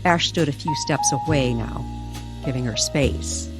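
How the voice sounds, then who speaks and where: A woman reading a tender, eerie story as a dramatic narrator, deliberate and pausing between short phrases. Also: rumbling low. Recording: music under the voice.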